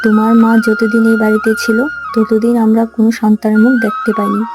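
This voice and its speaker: A woman's voice: fairly high pitch, completely flat delivery, fairly quick, over music, close to an everyday voice. A woman voicing a greedy young daughter-in-law and new mother in a cartoon folk tale. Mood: smug. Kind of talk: Bengali moral folk-tale cartoon dub.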